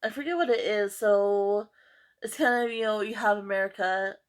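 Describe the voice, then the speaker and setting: A woman's voice: mid-range voice, moderate pace. Chatty woman gushing about books. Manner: chatty, enthusiastic, many fillers and repeats. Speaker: book vlogger. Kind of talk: casual book review chat.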